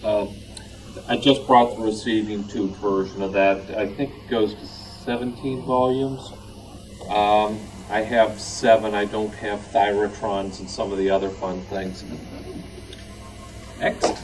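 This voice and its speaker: Guy w lowish voice casually presenting, halting, noisy room recording. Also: level tone. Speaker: club member at show and tell. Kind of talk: casual show-and-tell talk. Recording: distant mic, clear background noise.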